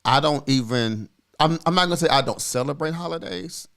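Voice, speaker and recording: A man in his forties, a podcast co-host, telling a personal story in a reflective, earnest way with frequent pauses and fillers. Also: fairly high pitch, a bit bright. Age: 40s.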